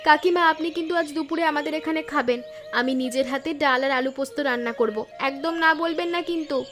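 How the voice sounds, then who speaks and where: High-pitched, quick young village bride voiced by a woman. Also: close to an everyday voice. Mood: cheerful, bashful. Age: young adult. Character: young, gentle, caring village daughter-in-law. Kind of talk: animated moral story voice acting. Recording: music behind the voice.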